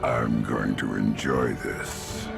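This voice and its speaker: An actor playing a pompous, menacing alien tyrant with a deep gravelly voice and theatrical pronouncements in a game cutscene. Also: male voice, fairly even intonation, slow pace, over loud music, full character voice. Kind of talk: animated video game cutscene acting.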